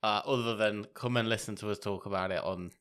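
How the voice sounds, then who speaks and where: A man's voice: lowish voice, steady tone, quick pace, a bit bright, clear, resonant tone. A man co-hosts a casual podcast in a playful, teasing mood. He jokes around and laughs easily, keeping the banter loose and friendly. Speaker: podcast co-host. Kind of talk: casual chat.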